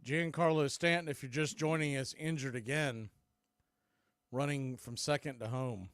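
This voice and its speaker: A man's voice: mid-range voice, clear, resonant tone, dry recording, clean studio sound. A man working as a baseball radio announcer, calling the game live in short, clipped phrases. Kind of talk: live baseball play-by-play commentary.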